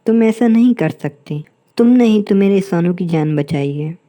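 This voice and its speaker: A woman's voice: medium pitch, expressive, moderate pace, warm tone, close to an everyday voice. A woman voicing an anxious mother goat who frets over her child in a cartoon fable. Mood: frightened. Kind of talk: Hindi moral-story cartoon dubbing.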